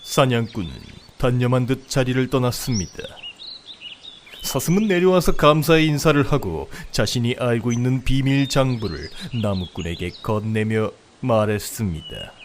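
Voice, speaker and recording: A man's voice: medium pitch, lively intonation, normal speed, slight background noise. A man narrating a comedic fairy tale from a script as a storyteller. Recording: outdoor nature ambience, birdsong behind.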